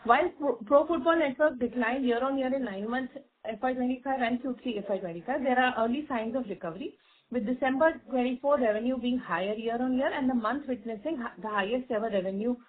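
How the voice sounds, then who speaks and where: Female executive, Indian accent, slightly high and husky voice, formal scripted results read over a narrow phone line. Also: brisk. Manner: formal, reading figures with stumbles. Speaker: company executive presenting results. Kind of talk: scripted financial report on earnings call. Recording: tinny, band-limited conference phone line.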